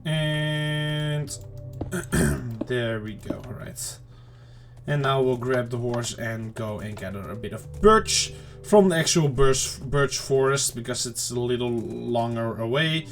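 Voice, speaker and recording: A mid-pitched man chats loosely to his viewers while he plays, talking half to himself as he goes. His throat sounds a bit off and he keeps clearing it. Soft game music plays quietly behind him. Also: takes it slowly. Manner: rambling, chatty self-talk to viewers. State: throat slightly off, clearing it often. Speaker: gaming channel host. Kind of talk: casual let's-play commentary.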